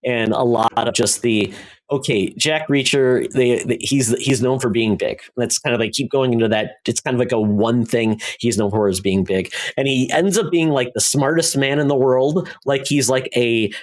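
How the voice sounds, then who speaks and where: A man chatting casually as a podcast co-host giving reviews, in a halting, rambling way where he often interrupts himself. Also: medium pitch.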